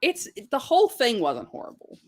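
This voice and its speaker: A woman's voice: sits high, huge pitch swings, normal speed, a little mellow. A woman chatting casually in a teasing, blunt way as a book reviewer on a live stream. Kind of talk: casual chat.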